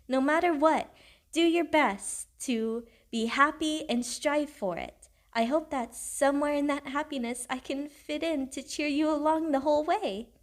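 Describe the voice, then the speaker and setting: A woman playing a sweet, devoted young girlfriend in an intimate audio roleplay, tender, earnest and a little self-conscious as she speaks to the listener. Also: high voice. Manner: tender, gentle, affectionate.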